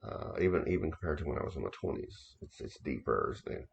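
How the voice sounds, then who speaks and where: Very deep gravelly man, halting storyteller. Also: lively intonation, slight background noise. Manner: halting, repeats himself, many restarts and fillers. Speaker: occult author recounting experiences. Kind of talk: casual first-person storytelling.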